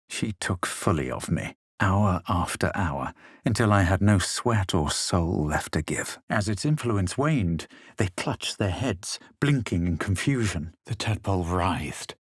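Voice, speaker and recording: A low-voiced, self-assured young fantasy hero for a video game. He speaks with measured superiority and a husky edge, as if every phrase were written out. The sound is close and dry. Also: lively intonation, no echo, full character voice. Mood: grave. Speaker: video game player-character voice actor. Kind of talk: scripted game voice lines, narration and quips.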